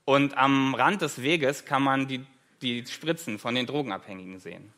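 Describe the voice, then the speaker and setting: A man's voice: medium pitch, moderate pace, pushed and bright. A German man in his thirties, a guest preacher giving a conversational sermon with light self-deprecating humor, in a church.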